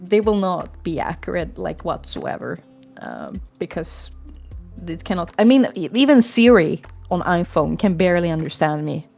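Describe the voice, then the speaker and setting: A woman's voice: fairly low pitch, animated, normal speed, vocal fry, darkish tone, soft background music. A young woman with a Swedish accent chatting casually and frankly, sometimes swearing. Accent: Swedish-accented English. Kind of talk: casual chat.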